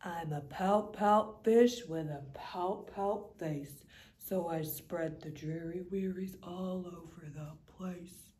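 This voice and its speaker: Woman putting on a gloomy male fish, mopey drone, slow, storybook. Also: darkish tone. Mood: glum. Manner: low, droning, mopey. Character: glum male pout fish, gloomy and sulky.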